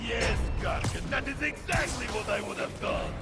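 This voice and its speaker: An actor playing a hulking, blunt supervillain with a gravelly voice, a Russian accent and clipped broken English in a video game. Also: male voice, extremely high pitch, normal speed. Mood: defiant. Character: hulking, blunt brute supervillain in an armored suit. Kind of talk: video game villain acting. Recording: loud game music and battle noise.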